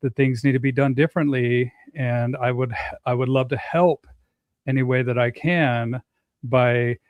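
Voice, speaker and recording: A middle-aged man hosting a casual talk, speaking earnestly with frequent pauses and restarts. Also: mid-range voice, fairly even intonation, no room sound, highs cut off. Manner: earnest, halting, many restarts. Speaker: host. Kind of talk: casual conversation.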